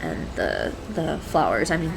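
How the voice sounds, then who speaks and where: A woman's voice: rumbling low, wildly swooping pitch, a little slow, heavily acted. A woman playing a shy, anxious, adorkable young woman in an intimate roleplay audio, rambling hesitantly and stammering. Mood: nervous, chatty. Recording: clear background coffee-shop ambience noise. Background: coffee shop ambience sound effects.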